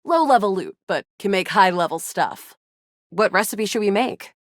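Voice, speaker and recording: A woman voicing a feisty, excitable young resistance fighter in a sci-fi video game, with a dramatic, playful delivery. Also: mid-range voice, normal speed, no room sound, studio quality, lightly acted. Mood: confident, chatty. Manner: animated, dramatic, playful banter. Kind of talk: video game character voice acting.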